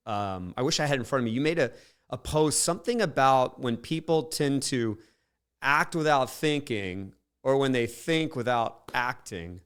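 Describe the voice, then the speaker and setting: A male podcast host with a smooth, resonant voice at a middle pitch. He asks long, wandering questions padded with fillers. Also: fairly bright tone. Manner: rambling, wordy questions with fillers. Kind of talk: interview questions.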